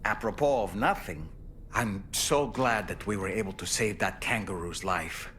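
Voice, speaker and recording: A man's voice: lowish voice, normal speed, fairly bright tone, a little hiss or hum, close to an everyday voice. A man acting as a kindly, thoughtful counsellor in a video game, reassuring and articulate. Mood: consoling.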